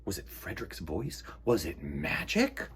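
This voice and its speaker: A man hosting storytime for children, reading and chatting expressively with dramatic pauses and a husky voice. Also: sits low, wildly swooping pitch, a little hiss or hum.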